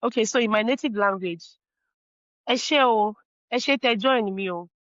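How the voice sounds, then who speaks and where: A woman's voice: on the high side, moderate pace, fairly bright tone, no room sound, dull audio. A woman with a Nigerian accent, a native Yoruba speaker, talking casually as an interview guest. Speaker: interview guest, fashion brand founder.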